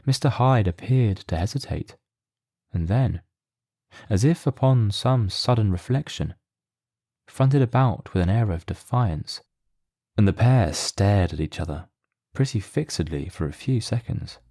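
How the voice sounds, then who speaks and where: A man narrating a dramatic story from a script in a measured, literary manner, as the narrator of an audio drama. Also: lowish voice, expressive, dark tone, dry recording, clean studio sound.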